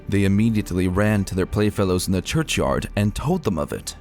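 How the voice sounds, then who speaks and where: Guy w/ a low voice reading an old ghost story, formal and measured, really animated pitch, bit of music behind. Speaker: narrator. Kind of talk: scripted narration of an old ghost account.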